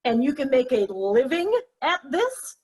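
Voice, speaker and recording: Prim, skeptical older mom played by a female actor, clipped delivery, high-pitched voice with a husky edge. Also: sing-song, measured pace, darkish tone, exaggerated voice. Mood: disapproving. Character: prim, skeptical mother of a grown son. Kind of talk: virtual table read of a stage comedy-drama.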